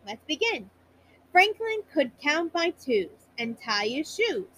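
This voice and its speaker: A woman storyteller narrating a children's bedtime story in a high-pitched voice. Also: very animated, slow pace, a bit bright, a little hiss or hum, poor audio.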